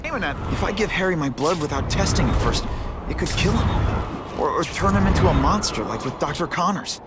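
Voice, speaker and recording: Man playing an earnest young superhero, high-pitched, music underneath. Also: expressive, fairly quick, natural voice. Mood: worried, musing. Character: young male superhero, earnest, worried, conscientious. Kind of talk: video game voice acting.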